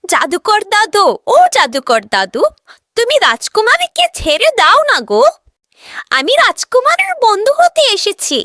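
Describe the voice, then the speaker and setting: A woman's voice: extremely high pitch, big pitch swings, measured pace, bright, forceful tone, no echo, studio quality, heavily acted. A woman voicing a brave, kind and earnest little girl in a children's cartoon story. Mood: pleading, sincere.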